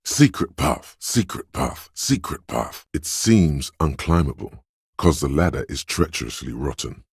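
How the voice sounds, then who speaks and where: Slick, cocky game-character hustler: extremely low, very husky bass, theatrical money-hungry swagger. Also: male voice, sing-song, a little slow, dry recording, clean studio sound, heavily acted. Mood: cool, observant. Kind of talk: video game voice acting, dramatic narration.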